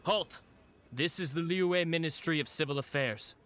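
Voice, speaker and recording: A man's voice: on the high side, moderate pace, fairly bright tone, close to an everyday voice. A man voicing a formal, courteous government official in a video game. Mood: commanding. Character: formal, measured male government official, politely helpful.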